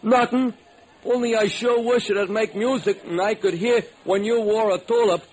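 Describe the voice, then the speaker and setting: High-pitched eager, gullible radio sidekick, acted by a man. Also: normal speed, lightly acted. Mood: good-natured. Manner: folksy, excitable, uneducated slangy speech. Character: eager, gullible, good-hearted ex-burglar diner owner, male. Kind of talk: old-time radio comic sidekick acting. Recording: slightly noisy old radio recording.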